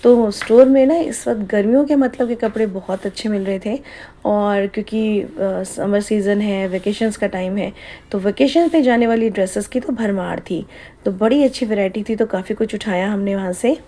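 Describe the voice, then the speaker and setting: A woman's voice: mid-range voice, moderate pace, warm tone. A woman narrating a family vlog in a chatty, casual way, slipping English phrases into her Hindi. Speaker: vlogger.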